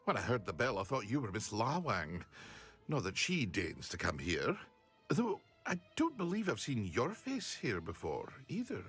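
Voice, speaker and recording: A man's voice: on the low side, wildly swooping pitch, normal speed, fairly bright tone, breathy edge, faint music behind, full character voice. A man playing a chatty, eager potion shopkeeper in a fantasy video game, effusive and a little fussy. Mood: flustered, welcoming.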